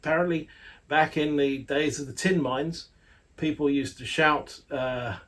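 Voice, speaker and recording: A man's voice: fairly high pitch, fairly even intonation, measured pace, a bit bright. Chatty man reviewing hi-fi gear. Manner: chatty, rambling, with playful asides. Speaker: audio magazine editor reviewing a loudspeaker. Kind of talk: casual spoken product review.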